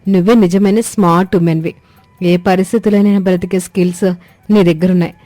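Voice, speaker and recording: A woman's voice: on the low side, level tone, fast, warm tone, no room sound, soft background music, lightly acted. A woman voicing a polite, gadget-proud young city daughter-in-law in a cartoon story, mixing English words into her Telugu. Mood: apologetic.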